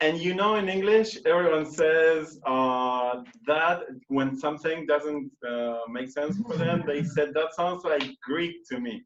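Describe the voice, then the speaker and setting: A man's voice: on the high side, moderate pace, few pauses, a bit bright. A man hosting an English-language interview over a call, chatty and full of fillers. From Argentina. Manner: chatty, many fillers and restarts. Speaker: radio host. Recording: roomy, distant, muffled call audio.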